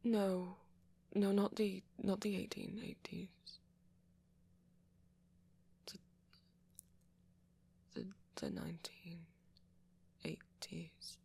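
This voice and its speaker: A very breathy, low-pitched, bashful young male vampire, acted out by a woman in an ASMR roleplay. The voice is soft and often half-whispered, with a gravelly edge. The delivery is hesitant and self-conscious, full of anxious stammers and apologetic turns. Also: fairly even intonation, normal speed, heavily acted. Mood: awkward, self-conscious. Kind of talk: ASMR roleplay monologue to a listener.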